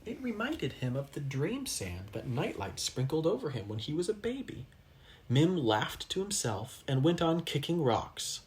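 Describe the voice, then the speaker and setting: A mid-pitched storybook reader delivers a children's tale with animated, swooping intonation. The reading is expressive, with dramatic pauses for young listeners, and faint noise sits behind the voice.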